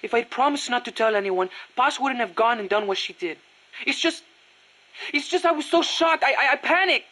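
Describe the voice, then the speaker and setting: Bright, boyish young guerrilla. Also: female voice, fairly high pitch, animated, moderate pace. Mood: regretful. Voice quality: youthful, boyish. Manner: earnest, hesitant when flustered. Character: earnest, proud young boy guerrilla fighter. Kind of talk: video game voice acting.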